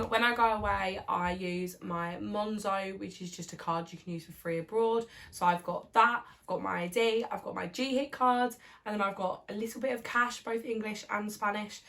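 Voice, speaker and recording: A young woman talks chattily to a camera on a tripod at home, her voice hoarse as she gets over a cold. She rambles along with plenty of ums and likes, in the loose tone of a casual vlog. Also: medium pitch, steady tone, normal speed, fairly bright tone. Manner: chatty, rambling, filler-heavy. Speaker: vlogger.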